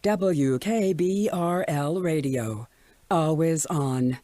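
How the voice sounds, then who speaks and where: Parody radio announcer: placid, deadpan, unhurried, close and dry sound. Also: male voice, on the high side, dry recording. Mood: placid, eerie. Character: placid radio announcer with creepy, controlling cheer.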